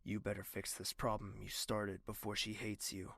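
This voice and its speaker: A man's voice: fairly low pitch, monotone, normal speed, vocal fry, sharp tone, dry recording, studio quality, exaggerated voice. A man playing a proud, betrayed young royal in an audio drama, intense and imperious, with bitterness that can turn volatile. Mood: bitter.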